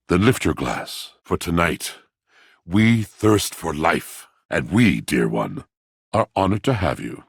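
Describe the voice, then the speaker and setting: An actor playing a brooding, lovelorn, poetic washing machine in a video game, speaking in florid, grandiose, theatrical phrases with a gravelly, husky voice. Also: male voice, extremely low pitch, no echo, studio quality, exaggerated voice. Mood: tender, adoring.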